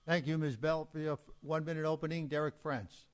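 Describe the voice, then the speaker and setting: A man's voice: fairly high pitch, steady tone, quick pace. A man acting as moderator at a candidate forum, making formal introductions and explaining procedure.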